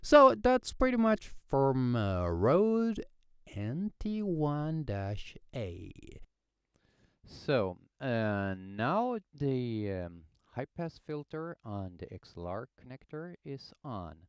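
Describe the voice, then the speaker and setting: A man's voice: medium pitch, big pitch swings, slow, smooth, dry recording, clean studio sound. A young man with a Swedish accent, his first language Swedish, casually narrating a home microphone test with lots of fillers and restarts. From Sweden. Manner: rambling, many fillers and restarts. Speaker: home studio hobbyist testing microphones. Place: home recording setup. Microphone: headset and studio condenser microphone.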